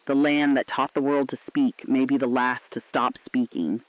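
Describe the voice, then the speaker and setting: A man playing a solemn radio correspondent reporting humanity's collapse, in scripted narration that is grave, deliberate and poetic. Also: medium pitch, little pitch movement, dry recording, dull audio, natural voice. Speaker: radio broadcaster.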